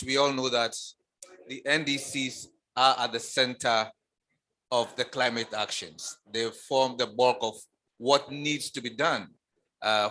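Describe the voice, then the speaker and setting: A man giving remarks on a panel, deliberate and pausing with uh between phrases. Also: medium pitch, sharp tone. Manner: deliberate, with uh pauses.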